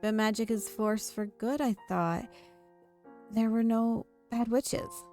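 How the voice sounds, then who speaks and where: Woman reading an earnest, mellow-voiced young witch heroine. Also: fairly high pitch, steady tone, takes it slowly, faint music behind. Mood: disbelieving, earnest. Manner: earnest, questioning. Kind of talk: visual novel character read-aloud.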